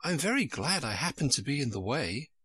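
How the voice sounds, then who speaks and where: A man voicing Alice, a polite and timid young girl, in a gently raised voice. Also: medium pitch, sing-song, brisk, slightly rough, no echo, pitched above the performer's own voice.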